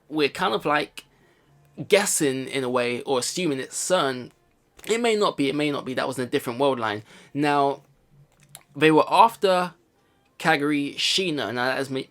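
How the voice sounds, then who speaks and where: Male let's player, voice a little high, casual and rambling, reads narration then muses aloud. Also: a bit bright. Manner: rambling, repeats phrases, thinks aloud. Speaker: let's play host. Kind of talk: casual game commentary mixed with reading visual novel narration aloud.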